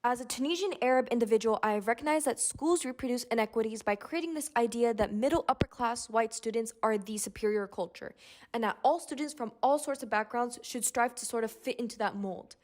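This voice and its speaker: A woman's voice: a little high, a little fast, darkish tone. A young woman, a student speaker, reading out a scripted personal statement. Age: young adult.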